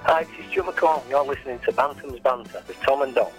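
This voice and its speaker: A man's voice: mid-range voice, brisk, over music, low-quality recording. A man reading a short show ident in the style of a radio jingle announcement. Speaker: guest recording a show ident.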